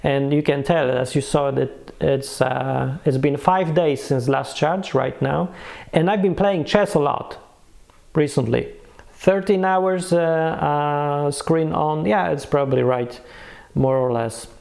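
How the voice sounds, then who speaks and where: Higher-voiced man, gravelly, casual product reviewer. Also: slow pace. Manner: animated, emphatic, repeats words for effect. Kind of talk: casual unscripted review to camera.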